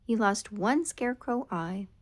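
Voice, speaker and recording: Female storybook reader for children: expressive and dramatic, slow delivery with very animated intonation. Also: medium pitch. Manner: dramatic, expressive read-aloud with drawn-out words. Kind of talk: scripted children's storytime narration.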